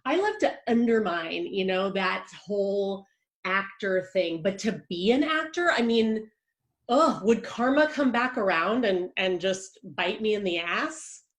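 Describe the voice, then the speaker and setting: A woman's voice: medium pitch, animated, measured pace, lightly acted. A woman playing an anxious, theatrical playwright-director who gushes and rambles dramatically. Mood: flustered. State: panicked shouting near tears.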